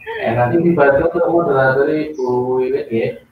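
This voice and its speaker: A man's voice: medium pitch, normal speed. A man teacher hosting an online class, speaking briefly and encouragingly. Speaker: host teacher. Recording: far-off echoey mic with loud noise, dull sound.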